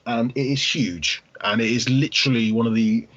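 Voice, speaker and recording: A man co-hosting a casual gaming podcast, husky, rambling and qualifying his points as he goes. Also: medium pitch, fairly even intonation, moderate pace, fairly bright tone, dry recording. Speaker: podcast co-host, game collector. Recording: slightly muffled podcast audio.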